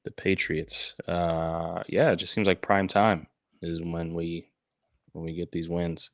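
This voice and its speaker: A man hosts a sports podcast in a low voice that stays fairly flat. He talks casually and has a slight cold. The recording is dry and close. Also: slow, no room sound.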